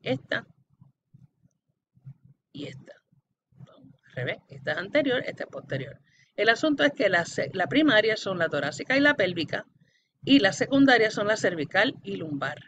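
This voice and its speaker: A woman's voice: mid-range voice, big pitch swings, normal speed, pauses often. A woman giving an explanatory anatomy lecture in a didactic way, addressing the student directly and often checking for understanding. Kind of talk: explanatory classroom-style lecture. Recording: dull lo-fi audio with background noise.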